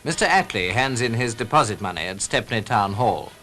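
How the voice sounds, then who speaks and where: A man's voice: mid-range voice, expressive, fairly quick, sharp tone. 1940s British newsreel narrator, male, over music. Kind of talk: scripted 1940s newsreel commentary.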